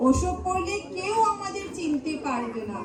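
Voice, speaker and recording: A very high-voiced, bossy goddess mother acted by a woman coaxes and scolds in a comic stage play. She is heard from far off in an echoing hall, under loud music and a crowd. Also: animated, normal speed. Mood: bossy, scolding. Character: goddess mother of four, coaxing, bossy wife. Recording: echoey stage hall, distant mic, music, audience.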